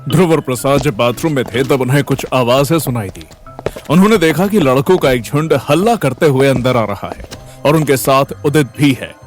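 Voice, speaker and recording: A man's voice: medium pitch, normal speed, soft background music. A man reading scripted narration for an audio story.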